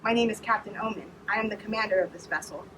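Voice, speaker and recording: A woman reading aloud as a stiff, formal young male starship captain who speaks in an even, flat tone. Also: medium pitch, fast, lightly acted. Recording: distant mic with noise behind.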